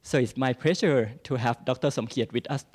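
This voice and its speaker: A man's voice: medium pitch, a little fast, a little mellow. A man hosting an event, giving a speech of introduction for a guest speaker. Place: lecture hall, on stage.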